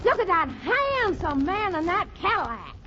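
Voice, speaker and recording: An actress playing a gawky, man-crazy country girlfriend with a shrill voice and blunt delivery in an old-time radio comedy. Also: big pitch swings, measured pace, exaggerated voice. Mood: smitten. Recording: muffled, noisy old radio broadcast, loud audience.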